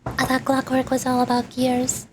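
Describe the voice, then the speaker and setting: A woman's voice: high voice, completely flat delivery, moderate pace, a little hiss or hum. A woman livestreamer chatting casually as she plays a game, with a playful, rambling manner. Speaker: VTuber game streamer. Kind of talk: casual livestream chat while gaming. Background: game music.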